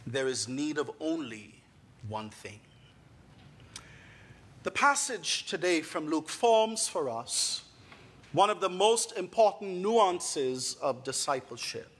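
A man's voice: on the high side, big pitch swings, slow pace, a bit bright. A man in his thirties with a Bahamian accent, a guest priest preaching a sermon in a church sanctuary into a pulpit mic, genial and rising to emphatic delivery.

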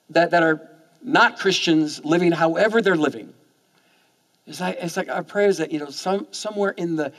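A man in his forties preaches from a church stage into a headset mic. His voice sits a little high for a man, and he talks in a loose, conversational way, stumbling over repeated words as he starts his sentences. A long reverb from the hall hangs behind him. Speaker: pastor. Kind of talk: sermon.

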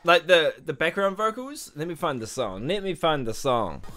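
A young man with a husky morning voice, hosting an excited, slangy music reaction vlog from a small bedroom on a studio mic. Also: on the high side, normal speed. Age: young adult. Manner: excitable, animated, exclamatory, slangy. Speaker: reaction channel host. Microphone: studio mic in front, headphones on.

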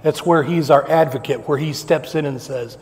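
A middle-aged male pastor preaching a sermon in a church sanctuary, building emphasis with slow, repeated phrases. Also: fairly high pitch, a little mellow. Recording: long reverb of a church hall.

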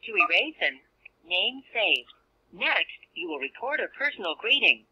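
A dull, lo-fi phone playback of a woman giving scripted voicemail menu prompts. Her pitch is animated and moves a lot, with a husky edge to the tone. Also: a little slow. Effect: telephone. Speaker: automated voicemail system announcer.

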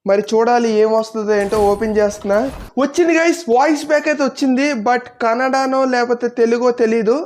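A woman's voice: fairly high pitch, quick pace, a little mellow, slight background noise. A woman hosting a gaming video with casual commentary to viewers.

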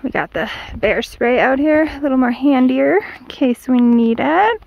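A woman's voice: sits high, expressive, moderate pace, gravelly, highs cut off. An American woman in her thirties, chatty and upbeat, casually narrating a hiking vlog into a handheld camera outdoors. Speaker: vlog host. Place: outdoors on an open mountain trail. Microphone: handheld camera at arm's length.

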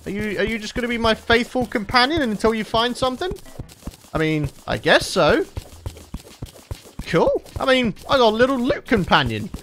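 Lively, upbeat gaming guy. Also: very high voice, normal speed, slight background noise. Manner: chatty, upbeat, laughs often, talks to viewers. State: tired voice from late-night recording. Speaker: gaming channel host. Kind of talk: let's play commentary.

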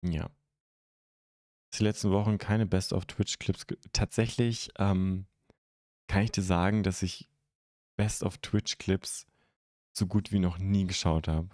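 A young man from Germany hosting a live stream, chatting casually and haltingly as he answers viewer comments into a close microphone. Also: low voice, steady tone, darkish tone, dry recording. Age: young adult. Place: indoor streaming room at home. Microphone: close microphone on a stand.

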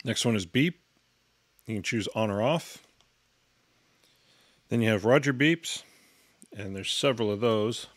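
A man's voice: lowish voice, expressive, measured pace, pauses often. A man giving an instructional product walkthrough in a matter-of-fact, step-by-step way. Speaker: product reviewer and demonstrator.

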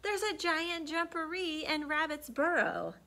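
Cat: sly, sneaky storybook cat, bright and high, played by a woman. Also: very animated, slow pace, exaggerated voice. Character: sly confident female cat.

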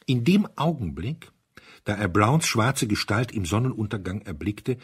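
A man narrating a mystery story from a script in an expressive, dramatic storytelling manner. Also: lowish voice, normal speed, husky. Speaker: audiobook narrator.

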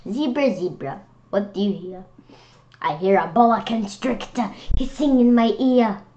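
A woman reading a picture book aloud for children in an expressive, playful way, sometimes halting on words. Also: medium pitch. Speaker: children's read-aloud host. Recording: dull, lo-fi sound.

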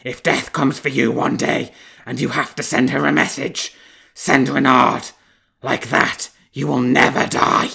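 A man voicing a peevish, jealous male wolf courtier who growls his words slowly and with strain. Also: medium pitch, flat delivery, creaky, bright, forceful tone, breathy edge, exaggerated voice. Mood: peevish, warning.

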